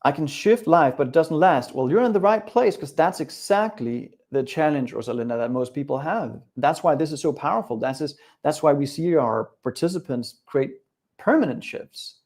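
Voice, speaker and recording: A man's voice: on the high side, lively intonation, moderate pace, a little mellow. Danish-accented man coaching a live webinar. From Denmark. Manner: upbeat, direct, addresses viewers and chat.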